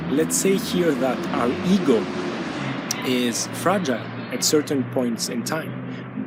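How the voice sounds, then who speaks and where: A man, hosting his own channel and giving earnest, measured advice with frequent pauses and fillers, recorded outdoors. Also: medium pitch. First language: Greek. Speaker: channel host, stuttering coach. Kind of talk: motivational talk to camera. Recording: loud outdoor traffic noise.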